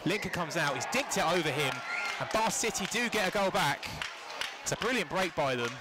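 A man giving live football commentary from a stadium stand, conversational and halting, with plenty of fillers. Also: high voice, lively intonation. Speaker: match commentator. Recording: loud crowd and outdoor noise.

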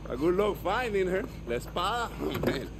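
Eccentric game rebel: cocky, teasing, animated delivery, noisy outdoor night. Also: male voice, sits high, normal speed, heavily acted. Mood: exuberant, cocky. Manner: cocky, teasing, repetitive patter. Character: eccentric, playful, jumpy male guerrilla tinkerer. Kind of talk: video game cutscene acting. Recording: clear outdoor night noise, crickets.

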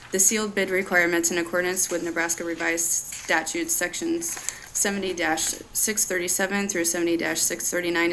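Noisy recording, even-toned woman reading. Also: fairly low pitch. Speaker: board secretary calling roll. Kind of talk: formal reading aloud of resolutions. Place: large public board meeting room. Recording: noisy far-off meeting room recording.

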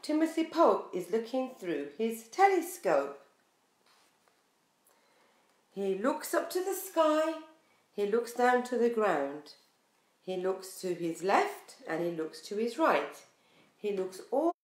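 A woman in her forties tells a picture story to preschoolers with a fairly high voice and an unhurried pace. She is expressive and sing-song, addressing the children directly. The small room gives her voice a clear echo. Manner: expressive, sing-song, questioning the young listeners. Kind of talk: picture book read-aloud for young children. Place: small bare room indoors.

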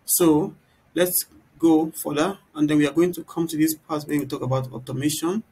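A man giving an informal, explanatory software tutorial, often turning statements into little rhetorical questions. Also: fairly high pitch, steady tone, normal speed. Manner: explanatory, poses rhetorical "what?" questions mid-sentence. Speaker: tutorial presenter. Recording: clear background noise.

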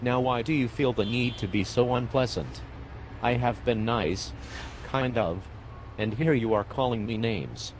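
A man voicing a tired, sarcastic male fire medic in an amateur animated comedy, speaking dryly and with exasperation. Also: medium pitch, a little slow, slight background noise, lightly acted.

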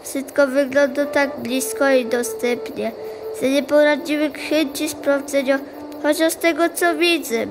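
A woman plays a little boy puppy in a storybook audio play. The voice is high, childlike and pleading, eager yet apologetic, in over clear music. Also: steady tone, measured pace, poor audio. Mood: apologetic, wonder-struck. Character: eager, apologetic young male sheepdog puppy. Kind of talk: children's audio drama acting.

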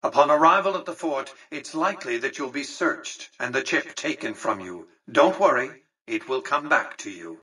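An imperious American tycoon from a video game, calculating and condescending. The voice is husky and forceful, with measured, precise phrasing. It sounds set back from the microphone. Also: male voice, medium pitch, expressive, distant mic, poor audio. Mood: self-assured. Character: imperious, calculating aged male tycoon autocrat. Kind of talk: scripted video game dialogue.

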